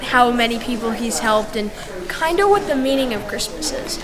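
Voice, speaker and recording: Hesitant young girl, slightly high voice. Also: lively intonation, normal speed. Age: child or teenager. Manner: hesitant, searching for words. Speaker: young cast member. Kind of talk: casual interview. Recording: crowd chatter behind the voice.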